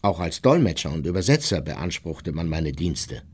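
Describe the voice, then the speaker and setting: An actor playing an elderly, unrepentant Nazi war criminal who justifies himself in formal, measured radio drama monologue. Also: male voice, low-pitched, sing-song, a little mellow, breathy edge, heavily acted. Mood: self-justifying. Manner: formal, measured, self-righteous.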